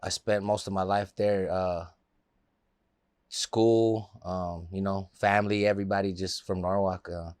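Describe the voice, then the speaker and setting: A man's voice: low voice, little pitch movement, measured pace, a little mellow, no echo, studio quality. A man from the Los Angeles area, a guest in a casual interview, telling stories in a loose, laid-back way with plenty of fillers.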